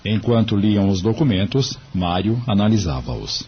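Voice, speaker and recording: A man's voice: on the low side, sing-song, normal speed. A Brazilian man narrating an audio drama in a scripted, dramatic style. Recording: muffled lo-fi audio, clear background noise.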